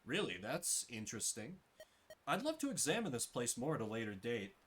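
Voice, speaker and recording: A man's voice: mid-range voice, big pitch swings, brisk, fairly bright tone. A man voicing a level-headed, curious tactician in a fantasy game. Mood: thoughtful. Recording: game music behind the voice.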